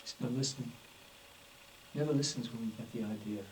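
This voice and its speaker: A man's voice: mid-range voice, a little fast, darkish tone, far from the mic, slight background noise, low-quality recording. A man, a voice actor, trading jokes with colleagues between recording takes in a playful, theatrical manner. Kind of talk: joking studio banter between takes.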